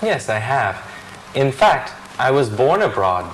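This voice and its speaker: A man's voice: medium pitch, big pitch swings, unhurried, a little hiss or hum, rough recording. A man reading the answering part in a scripted practice dialogue, matter-of-fact with a joking touch. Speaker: dialogue reader answering questions.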